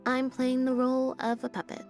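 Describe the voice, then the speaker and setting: A woman voicing a laid-back, deadpan young stage magician's assistant in a video game, speaking in an unhurried, matter-of-fact way. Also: sits high. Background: game background music.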